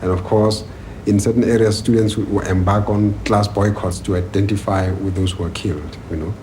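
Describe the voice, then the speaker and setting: South African man, low mellow voice, noisy recording. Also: fairly even intonation, fairly quick. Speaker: education activist interviewee. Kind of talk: documentary interview. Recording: noisy 1980s newsreel location sound.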